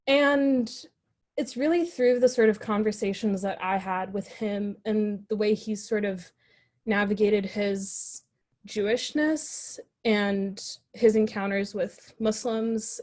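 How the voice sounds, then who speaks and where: A woman's voice: medium pitch, darkish tone. A woman on a discussion panel telling a personal story, reflective and chatty, with fillers and restarts. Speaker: panel guest.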